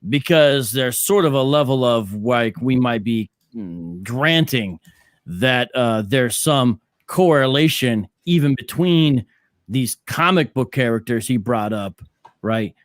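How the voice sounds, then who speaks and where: A man's voice: medium pitch, no echo. Skeptical male podcast co-host, dry sound. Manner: skeptical, thinks aloud with many restarts. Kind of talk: casual discussion.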